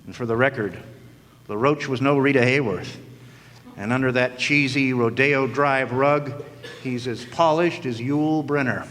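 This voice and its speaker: A wisecracking hardboiled private eye, played by a man narrates in a dry, sardonic drawl. His mid-pitched voice rings with long reverb from a hall, as in a live radio play. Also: unhurried, a bit bright, natural voice. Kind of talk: 1940s-style noir radio acting. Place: live stage performance in a hall.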